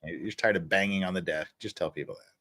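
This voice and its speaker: A man's voice: low-pitched, brisk, pushed and bright. A man hosting a livestream with casual, joking, teasing banter. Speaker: livestream host.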